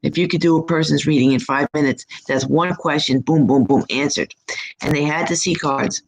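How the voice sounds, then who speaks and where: A hoarse, husky middle-aged woman hosting a live stream as a psychic reader, chatting in a rambling, upbeat way from her home. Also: deep voice, moderate pace, low-quality recording. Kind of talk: casual live-chat monologue.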